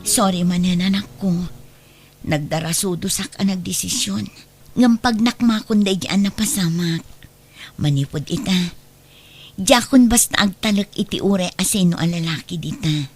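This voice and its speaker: A woman's voice: lowish voice, normal speed, breathy edge, faint music behind. A woman acting a wronged, protective middle-aged mother in an Ilocano radio drama, speaking earnestly. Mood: resolute. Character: wronged protective mother, firm, hurt and resolute. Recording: slightly noisy radio drama track.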